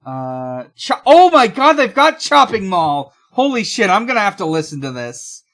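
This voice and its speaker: A podcast host with a very bright, sharp voice at a middling pitch. The delivery is casual and rambling, halting as it goes along in loose chat. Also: big pitch swings.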